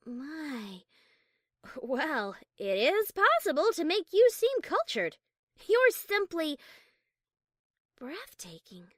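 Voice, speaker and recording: Woman voicing a high, animated female pony. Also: takes it slowly, pauses often, no echo, studio quality, full character voice. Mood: admiring. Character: female pony characters in a romantic audio story.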